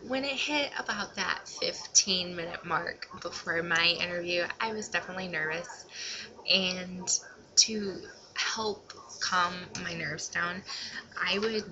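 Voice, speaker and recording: A woman's voice: fairly low pitch, takes it slowly, lo-fi sound, background noise. A young adult woman giving casual advice in a vlog, talking in an informal, rambling and slightly self-conscious way. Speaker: vlogger.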